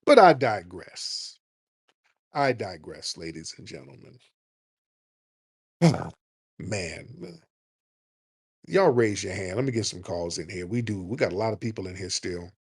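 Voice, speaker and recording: A man hosting a call-in show, talking in a blunt, profane and animated way and sometimes mocking people in a high put-on voice. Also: a little slow, pauses often, breathy edge. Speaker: host.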